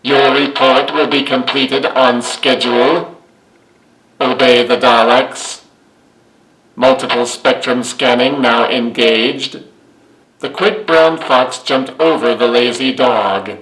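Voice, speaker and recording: A man voicing a commanding alien war machine through a grating toy voice changer filter, speaking in slow, flat, clipped commands. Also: medium pitch, reverberant, rough recording.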